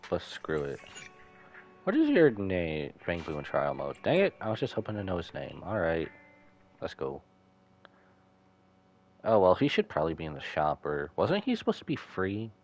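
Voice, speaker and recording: Very low-voiced man, lively intonation. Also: moderate pace, pauses often, soft background music. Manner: musing aloud in short remarks. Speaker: livestreamer reacting to a game. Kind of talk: casual gaming stream commentary.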